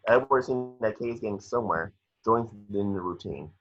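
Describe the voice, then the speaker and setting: A man's voice: on the low side, a little fast, a bit bright. A man reading scripted action descriptions aloud as narrator of a film table read, occasionally stumbling. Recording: dry, dull lo-fi home recording.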